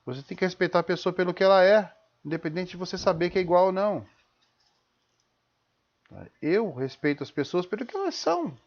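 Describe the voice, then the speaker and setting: A man's voice: high-pitched, lively intonation, brisk, long pauses. A man with a Brazilian accent giving an informal spoken lecture in an emphatic, didactic way, punctuated with rhetorical questions and short check-in tags. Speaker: host of a live-streamed talk. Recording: dull, lo-fi live stream audio.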